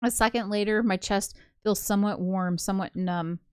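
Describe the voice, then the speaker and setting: A woman's voice: mid-range voice, steady tone, moderate pace, clear, resonant tone, no echo, studio quality, natural voice. A woman reading a brooding, hazy-headed young ghost girl's first-person narration from a visual novel. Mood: wistful, musing. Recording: prominent background game music.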